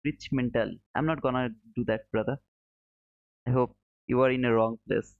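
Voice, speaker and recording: A man's voice: steady tone, moderate pace, dry recording. Man, chatty livestream host. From Bangladesh. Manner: friendly and chatty, calls viewers brother, says boom. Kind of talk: casual live-stream commentary, reading viewer chat. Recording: dull, slightly muffled, dry.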